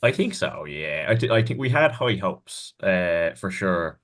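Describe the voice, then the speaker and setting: Guest, male musician, very low voice; easygoing, halting answers. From Ireland. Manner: relaxed, hesitant with many ums and restarts. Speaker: band member, guest. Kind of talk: casual interview answers.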